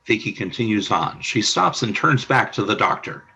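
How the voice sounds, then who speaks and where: A man narrating the stage action of an amateur radio play in an even reading. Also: on the low side, moderate pace, vocal fry, breathy edge.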